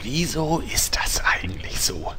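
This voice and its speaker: A man playing a doubtful male guild member who speaks slowly, half in a whisper, in a parody audio drama. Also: medium pitch, very animated, bright, forceful tone, low-quality recording.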